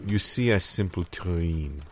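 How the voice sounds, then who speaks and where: An actor playing a grandiose, romantic French restaurateur with a pompous, halting delivery and French accent in an improvised comedy sketch. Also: male voice, low-pitched. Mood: exasperated, lofty. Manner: theatrical, pompous, halting and dramatic. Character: grandiose, romantic French male restaurateur scheming with business partner.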